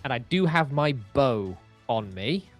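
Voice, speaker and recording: A man with a medium-pitched voice plays a tabletop roleplaying game. He thinks out his moves aloud in casual talk, over faint background music. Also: sing-song, unhurried.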